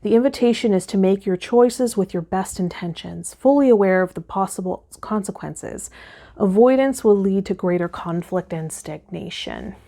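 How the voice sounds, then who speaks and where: A woman hosting a tarot channel, talking and reading guidance aloud in a measured, instructional way. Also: mid-range voice, vocal fry, warm tone. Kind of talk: vlog talk with reading aloud from tarot guide.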